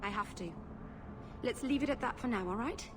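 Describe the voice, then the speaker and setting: Woman acting as young elf apprentice, fantasy game, higher-pitched, nervous, sweet, apologetic, noisy ambient background. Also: close to an everyday voice. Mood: evasive. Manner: nervous, halting, rambling.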